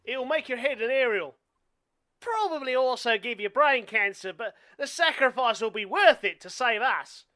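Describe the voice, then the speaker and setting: A man's voice: very high-pitched, lively intonation, moderate pace, sharp tone, no echo, clean studio sound, full character voice. A man playing a blunt, conspiracy-minded survivalist in an amateur radio play, speaking like a know-it-all. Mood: deadpan, goading.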